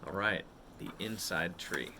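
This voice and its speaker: A man's voice: low-pitched, measured pace, noisy background. A man hosting a read-aloud for children, reading a picture book expressively and addressing his young listeners directly.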